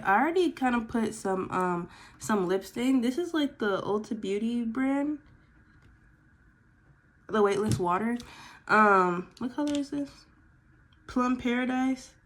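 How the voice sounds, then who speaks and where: A 22-year-old woman talking casually about herself in a get-to-know-me vlog while doing her makeup, with lots of "like" and "girl". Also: a little high. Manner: chatty, filler-heavy, says "like" and "girl" a lot. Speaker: vlogger.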